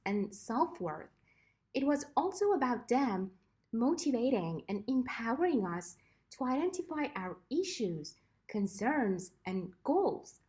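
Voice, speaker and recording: A woman with a fairly high voice gives a prepared podcast answer. Her pitch moves in a lively, animated way as she works through a list of points in measured phrases. She speaks without stumbling. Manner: fluent, listing in measured phrases. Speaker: podcast guest, former mentee. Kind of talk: prepared answer, read smoothly.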